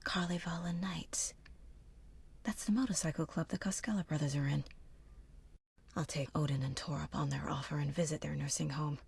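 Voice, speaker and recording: An actress playing a level-headed, dry-witted FBI agent in a video game, with a measured, understated delivery as if thinking aloud. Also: female voice, low voice, fairly even intonation, vocal fry, darkish tone, breathy edge, dry recording, full character voice. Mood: quiet, musing.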